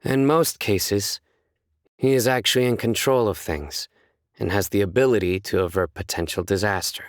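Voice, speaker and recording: Male actor as stern young judge, video game character, low pitch, measured, solemn, deadpan, very dry recording. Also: no echo, close to an everyday voice. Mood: earnest. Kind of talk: scripted game character voice lines.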